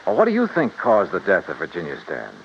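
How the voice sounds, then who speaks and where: A man playing a tough, brisk insurance investigator in a 1950s radio detective drama, clipped and probing. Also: sits low, huge pitch swings, a little hiss or hum, heavily acted. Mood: probing, businesslike. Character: tough, brisk male insurance investigator narrating his case. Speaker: detective lead and narrator. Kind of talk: 1950s radio detective acting, hardboiled narration. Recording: muffled old radio broadcast with music.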